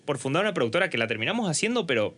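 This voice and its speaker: A man's voice: a little high, big pitch swings, rapid-fire, bright, forceful tone. A man with an Argentine Spanish accent, hosting and interviewing in a casual podcast conversation. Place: home studio.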